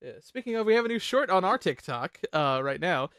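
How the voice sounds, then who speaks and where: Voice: mid-range voice, very animated, a little fast, a bit bright, clean tone, dry recording, studio quality. A host chatting casually while ranking songs on a panel show, jokey, profane and talkative.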